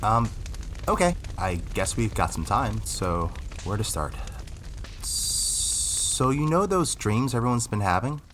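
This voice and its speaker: A man's voice: on the low side, slow, noisy background. A young male actor playing an insecure youth who rambles, swears and frets about whether he is special. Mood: anxious. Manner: anxious, rambling, swearing, animated. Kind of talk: audio drama acting.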